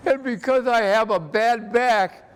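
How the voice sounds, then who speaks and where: An elderly American man in his eighties, an interview guest speaking earnestly and haltingly, often repeating himself. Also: very high voice, smooth. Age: 80s. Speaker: interview guest, army veteran. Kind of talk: personal reminiscence in an interview. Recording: echoey hall with background noise.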